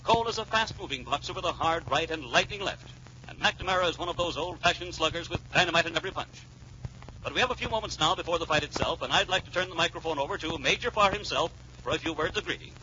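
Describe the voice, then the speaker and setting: An actor playing a formal, punchy sports announcer in a 1940s radio drama about a boxing match. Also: male voice, fairly high pitch, quick pace, lightly acted. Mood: brisk, eager. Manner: formal, declamatory, punchy phrasing. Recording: muffled, noisy 1940s radio recording.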